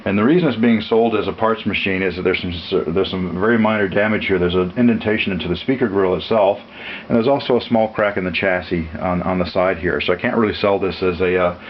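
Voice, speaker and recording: A man giving an unscripted technical demonstration as a seller, matter-of-fact and halting, with frequent uhs. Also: on the low side, a little hiss or hum. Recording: dull lo-fi audio, music behind. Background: music played from demonstration equipment.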